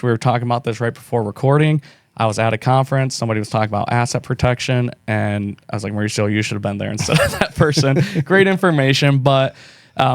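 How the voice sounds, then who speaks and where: A man's voice: mid-range voice, clear, resonant tone, dry recording. A man hosting a podcast, giving an enthusiastic introduction of his guest on a studio microphone. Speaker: podcast host.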